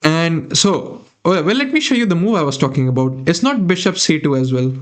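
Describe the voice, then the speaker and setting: A man's voice: a little high, lively intonation, fairly quick. Young Indian man streaming chess. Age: young adult. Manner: thinking aloud, chatting with viewers. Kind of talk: live chess commentary. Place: small room indoors.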